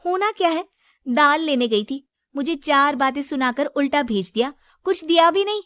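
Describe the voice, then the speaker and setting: A woman performs a resentful young daughter-in-law from a moral story cartoon. She schemes and protests in a high, indignant, exaggerated voice, recorded dry and close. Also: lively intonation, moderate pace, pushed and bright, dry recording. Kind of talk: Hindi moral story character acting.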